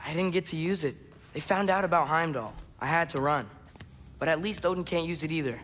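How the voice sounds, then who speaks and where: A man's voice: on the high side, a little fast, a bit bright, a little hiss or hum, lightly acted. An actor playing an earnest, hopeful teenage boy adventurer in a video game. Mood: subdued. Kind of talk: video game character acting.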